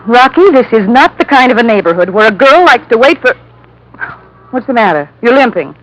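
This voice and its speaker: A woman's voice: a little high, sing-song, fairly quick, a bit bright. A woman playing an anxious, loyal young girlfriend in a 1950s radio drama. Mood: exasperated. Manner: worried, questioning, earnest. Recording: dull 1950s radio recording.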